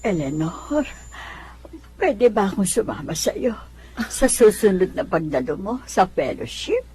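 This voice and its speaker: A woman's voice: medium pitch, sing-song, unhurried, warm tone, slightly rough, full character voice. An actress playing an emotional, earnest poor neighbour woman, an ailing former drinker, in a radio drama. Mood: curious, hesitant.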